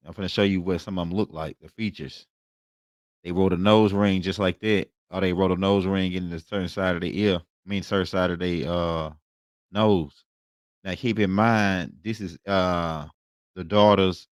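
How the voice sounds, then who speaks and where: An American man giving an informal, colloquial lecture, repeating phrases and often checking in with a quick tag question. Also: low-pitched, no echo, clean studio sound. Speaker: online lecturer, host. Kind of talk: informal lecture talking through slides.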